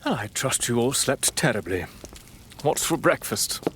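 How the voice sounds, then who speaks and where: An imperious lord of a gothic manor, defiant and full of sardonic scorn. His delivery is grand and theatrical at a medium pitch, in audio drama style, over faint background noise. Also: sing-song, a little slow. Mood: dry, sardonic. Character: haughty, defiant, gambling English lord of the manor.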